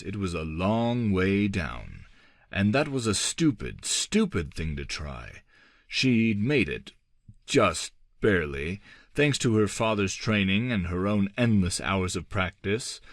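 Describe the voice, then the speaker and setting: A man reading an audiobook as dramatic scripted narration, in a measured, theatrical manner. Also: sits low, no echo. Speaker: audiobook reader.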